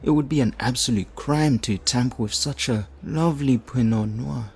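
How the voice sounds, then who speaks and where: A man playing a flirtatious, theatrical supervillain leader in an audio roleplay, smug and righteous, with teasing, drawn-out phrasing. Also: mid-range voice, creaky, a little mellow, husky. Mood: playful, persuasive. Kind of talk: audio roleplay addressed to the listener.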